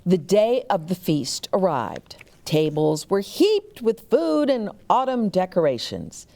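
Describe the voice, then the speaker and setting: A woman storyteller reading a picture book aloud to young children, animated, with chatty explanatory asides. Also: on the low side, takes it slowly, vocal fry.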